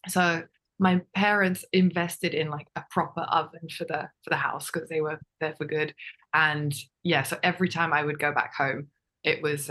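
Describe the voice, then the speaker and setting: A woman's voice: low voice, bright, forceful tone. Chatty young woman raised in Japan. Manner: chatty, many fillers and laughs. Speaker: podcast guest. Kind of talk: casual interview chat.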